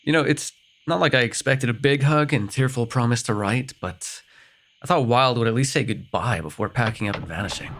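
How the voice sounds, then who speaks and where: A man playing a sarcastic vampire with a soft spot in an ASMR roleplay, talking in a teasing, conversational way with sighs and hesitations. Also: mid-range voice, a little fast, natural voice. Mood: wry, indignant. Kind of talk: immersive ASMR roleplay acting.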